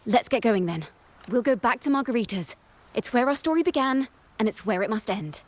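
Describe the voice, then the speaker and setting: An actress playing a poised young woman bard in a game, speaking in an earnest, measured, eloquent and theatrical way. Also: fairly high pitch, lively intonation. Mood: polite, purposeful. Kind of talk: dramatic game story dialogue and oratory. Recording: background music under the voice.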